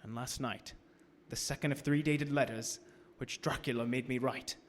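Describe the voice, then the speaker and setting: An actor playing an anxious, trapped gentleman in a gothic radio drama, earnest and dramatic in his delivery. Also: mid-range voice, fairly even intonation, normal speed, slight background noise, natural voice. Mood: haunted narration. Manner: earnest, dramatic, increasingly fearful. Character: Jonathan Harker, anxious trapped English gentleman guest. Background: loud music.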